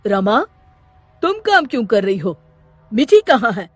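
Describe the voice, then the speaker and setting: A woman voicing a stern, easily alarmed village father in a cartoon story, speaking loudly. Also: fairly high pitch, big pitch swings, a little slow, no echo, soft background music. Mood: stern surprise. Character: startled, stern village father, adult man.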